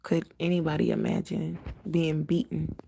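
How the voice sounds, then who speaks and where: Woman medium w a raspy cracky voice, super gravelly and dark, earnest prayer-y talk, faint hiss behind. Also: sits low, completely flat delivery, normal speed. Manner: earnest, prayerful, repeats invocations. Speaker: spiritual medium. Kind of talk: livestream spiritual reading and prayer.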